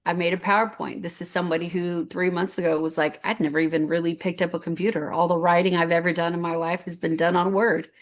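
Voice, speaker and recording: A woman in her fifties speaks with a somewhat low voice, earnest and rambling. She tells a personal story on stage and often restarts her sentences. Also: little pitch movement, quick pace, hardly any pauses. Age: 50s. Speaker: guest speaker.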